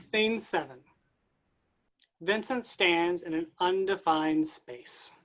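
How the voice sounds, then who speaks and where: A woman's voice: fairly low pitch, slow, a bit bright. A woman reading stage directions as scripted narration for a play.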